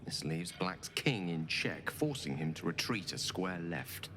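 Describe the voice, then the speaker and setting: A man's voice: deep voice, expressive, measured pace. A man playing a scholarly, courteous advisor in a fantasy video game, speaking formally and precisely. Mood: courteous, instructive. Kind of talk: video game character dialogue. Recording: long hall reverb, noisy ambience behind.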